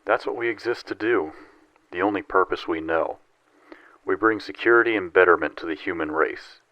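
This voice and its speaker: An actor playing a cool, loyal psionic agent in a sci-fi audio drama, speaking in a measured, dutiful manner. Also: male voice, deep voice, no echo, lo-fi sound.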